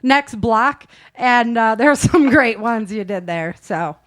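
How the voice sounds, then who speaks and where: A woman's voice: a little high, animated, slow pace, fairly bright tone. A giggly woman hosting a casual, chatty show of outtakes and interviews. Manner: giggly, breaking into laughter. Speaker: host. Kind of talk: casual chat and blooper outtakes. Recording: clearly noisy with clicks.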